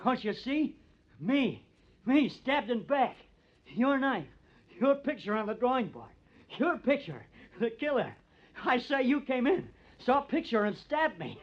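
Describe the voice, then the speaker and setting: A man's voice: very high-pitched, very animated, a little slow, exaggerated voice. An actor playing a cunning, bitter artist who frames his rival, with theatrical, taunting intensity, in old-time radio drama style. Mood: gasping triumph. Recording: dull, lo-fi old radio recording.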